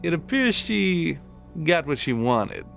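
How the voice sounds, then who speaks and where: A man voicing a wry, teasing male soldier in an audiobook, with a dry, cheeky delivery over soft music. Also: a little high, very animated, takes it slowly, vocal fry, pitched above the performer's own voice, heavily acted.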